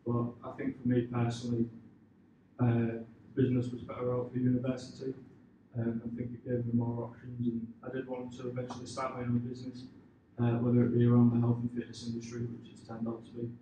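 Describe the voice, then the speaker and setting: A man giving informal, hesitant answers to questions after pitching his business, leaning on filler phrases. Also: completely flat delivery, a little fast, warm tone, muffled recording. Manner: hesitant, informal, leans on "you know" and "sort of". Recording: echoey room, distant mic, clear background noise.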